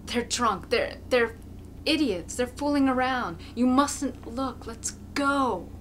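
Woman doing a worried dad in a story, insistent, bright voice, faint noise. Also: a little high, lively intonation, slow pace, pitched above the performer's own voice. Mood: uneasy, urging. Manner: urging, insistent. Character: uneasy father urging his young son away.

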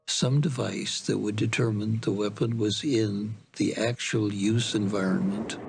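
Low, gravelly man. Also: fairly even intonation, unhurried. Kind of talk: technical explanation in interview.

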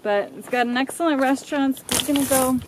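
Young woman, casual travel vlog; fairly high voice, outdoors, slight background noise. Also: fast. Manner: informal, explains the route to camera. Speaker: travel companion. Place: outdoor mountain trail. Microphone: handheld camera.

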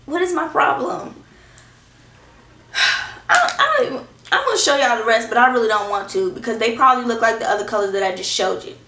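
A woman in her twenties, a little hoarse from a cold, reviewing lipsticks in a chatty, reassuring way, teasing herself now and then. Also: fairly high pitch, lively intonation, fairly quick, bright, forceful tone, slight background noise. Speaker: beauty vlogger. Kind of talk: casual product review. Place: small indoor room at home. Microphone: camera in front at close range. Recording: echoey room.

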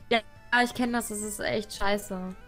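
A woman's voice: medium pitch, fairly bright tone. A young woman giving playful, giggly, casual commentary as the host of a let's play, chatting with a co-player. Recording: game music behind the voice. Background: video game music.

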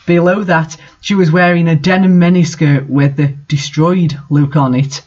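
A man's voice: a little high, fairly even intonation, normal speed, darkish tone, dull audio. A man reading fan fiction aloud in a theatrical, wry way, with casual asides.

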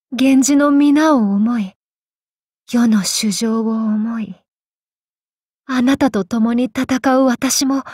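A woman voicing a tormented, vengeful samurai woman in an anime game, speaking in archaic, solemn, halting phrases. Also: fairly high pitch, a little mellow, slightly rough, dry recording, clean studio sound, heavily acted. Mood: anguished, earnest. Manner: archaic, solemn speech with halting pauses, sometimes sinking to whispers. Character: vengeful possessed samurai woman, tormented, torn between two selves. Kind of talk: anime game character voice lines.

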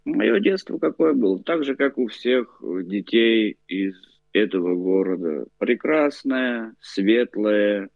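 A man's voice: fairly low pitch, animated, slow, vocal fry, dry recording. A man in his forties from Baku, a guest on a remote video-call interview, telling his story at home with many fillers and restarts. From Baku, Azerbaijan. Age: 40s. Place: living room at home. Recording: tinny thin video-call audio, dry.

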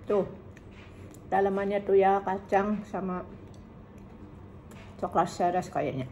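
A woman in her thirties from Indonesia, chatting casually through a food review and humming while she eats, filmed in a bright living room by a camera on the table. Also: mid-range voice, steady tone, slow pace, a little hiss or hum. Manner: chatty, savoring, hums while eating. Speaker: food vlogger. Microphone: camera on table in front.

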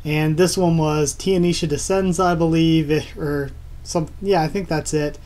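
A man's voice: a little high, fairly even intonation, moderate pace, a little mellow. Stuffy-nosed young man gushing about manga. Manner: enthusiastic, rambling with many fillers. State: stuffed-up nose, nasal. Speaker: manga reviewer. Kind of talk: casual video review. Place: small room at home, indoors.

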